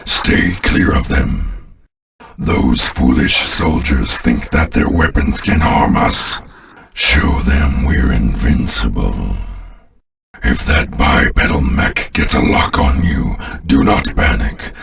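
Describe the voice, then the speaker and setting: A man voicing a sinister, contemptuous alien warlord in a video game, giving pompous, commanding battle orders in a gravelly voice. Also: a bit bright, recorded from a distance, slight background noise, exaggerated voice. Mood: commanding, menacing. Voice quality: very deep, gravelly. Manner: slow, pompous, disdainful, commanding. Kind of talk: scripted video game character lines.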